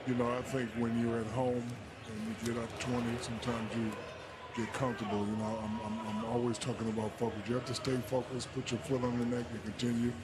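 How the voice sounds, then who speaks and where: A man's voice: mid-range voice, little pitch movement, brisk. A man working as a sports analyst in an unscripted panel debate, emphatic and teasing.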